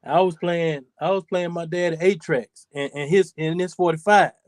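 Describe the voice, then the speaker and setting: A man's voice: a little high, fairly even intonation, normal speed, no room sound, studio quality. A man in his forties hosting a podcast, talking in a loose, profane, rambling way. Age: 40s. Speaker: podcast host. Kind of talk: casual chat.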